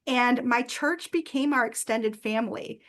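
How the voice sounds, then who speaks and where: A woman's voice: fairly high pitch, measured pace, fairly bright tone. A woman presenting a workshop, telling a personal story earnestly with frequent fillers. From United States.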